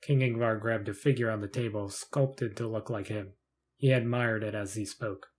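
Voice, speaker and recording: A man's voice: fairly low pitch, fairly even intonation, moderate pace, a little mellow. A man reading scripted narration for a fantasy short story in the third person.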